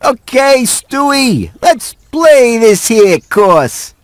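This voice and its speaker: A man's voice: very high voice, very animated, drawn-out words, dry recording, exaggerated voice. A man voicing a cocky but friendly golfing mouse in a cartoon video game, folksy and encouraging. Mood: challenging, jaunty. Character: cocky, friendly male golfer mouse, a mentor.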